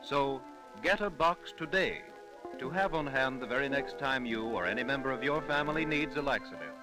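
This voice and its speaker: A man announcing a scripted sponsor message on old-time radio, with emphatic, measured salesman phrasing. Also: medium pitch, animated, music in the background, low-quality recording.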